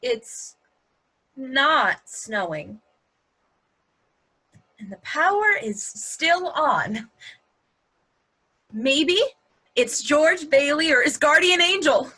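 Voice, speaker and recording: Actress doing a snarky young woman, high bright voice, lively swings, stage comedy. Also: very slow, pauses often, heavily acted, low-quality recording. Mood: sarcastic. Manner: sarcastic, quippy. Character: sarcastic wisecracking young woman, Reese. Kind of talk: table-read stage comedy acting.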